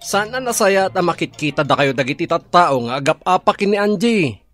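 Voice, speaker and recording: An actor playing an earnest, coaxing husband in a radio drama, admonishing and pleading, with a Filipino accent. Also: male voice, high voice, animated, brisk, music in the background. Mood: gentle admonishing.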